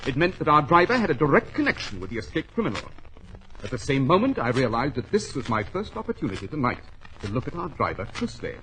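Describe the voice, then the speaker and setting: A masterful gentleman detective with a widely swinging, animated delivery. He is clipped, exact and sure of himself, in the manner of 1940s radio drama. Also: medium pitch, fast, slight background noise, rough recording. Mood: confident, revealing. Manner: clipped, authoritative, precise. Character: brisk, commanding, self-assured gentleman detective.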